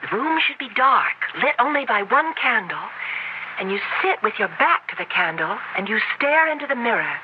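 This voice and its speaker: An actress playing a nervous, fascinated young student dabbling in the occult, hesitant and dramatic, in a radio horror drama. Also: female voice, on the high side, big pitch swings, moderate pace. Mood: excited, uneasy. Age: young adult. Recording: noisy, muffled 1970s radio recording.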